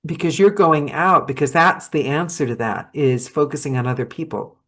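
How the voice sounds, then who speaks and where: A woman hosting a casual podcast chat, rambling and animated, laughing often. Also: low voice, normal speed. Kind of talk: casual video-call chat.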